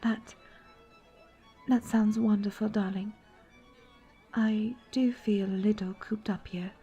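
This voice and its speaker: A woman playing a gentle, troubled young princess in a fantasy romance audio roleplay, speaking softly and intimately in courtly, old-fashioned phrasing. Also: medium pitch, fairly even intonation, takes it slowly, husky, lo-fi sound. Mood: tender. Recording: background music.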